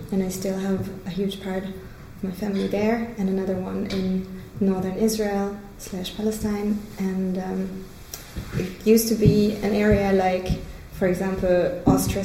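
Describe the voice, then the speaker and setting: Flat, mellow woman explaining her background. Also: mid-range voice. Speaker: peace activist interviewee. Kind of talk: explanatory personal account in an interview. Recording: echoey room with background noise.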